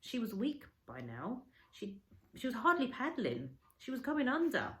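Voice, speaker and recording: A woman teacher reading a children's storybook aloud with an expressive, dramatic storytelling delivery. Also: a little high, normal speed, roomy sound. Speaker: schoolteacher.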